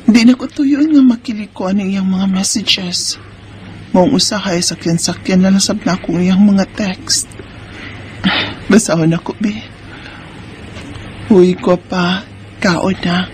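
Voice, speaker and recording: A woman's voice: medium pitch, slow pace, pauses often, a little mellow, slight background noise, lightly acted. A woman with a Cebuano Bisaya accent playing a married mother, teasing yet guarded, in a radio drama with first-person narration. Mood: musing.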